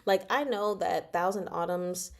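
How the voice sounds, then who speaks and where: A woman's voice: lowish voice, unhurried, gravelly. Casual, chatty woman thinking aloud. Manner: rambling, thinking aloud, playful. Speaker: host of a book discussion livestream. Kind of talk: casual chat, answering viewer comments.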